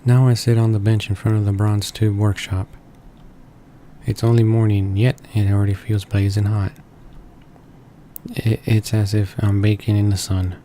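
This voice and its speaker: Male let's play narrator, deep-ish and even in intonation, halting read-aloud delivery of game text. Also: slight background noise. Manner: stumbles and rereads words while reading. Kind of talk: reading a visual novel aloud. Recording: voice-over with game music behind.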